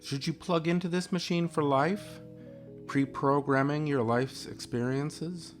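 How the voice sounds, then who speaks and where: A man reading a philosophy essay aloud in a measured, emphatic, educational audiobook style. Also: medium pitch. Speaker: reader of a philosophy text.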